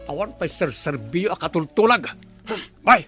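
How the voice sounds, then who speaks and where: Male actor as scheming villain boss, slightly high voice, Ilocano accent, hot-tempered, radio drama. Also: big pitch swings, normal speed, pushed and bright, soft background music. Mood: angry, stubborn. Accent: Filipino (Ilocano).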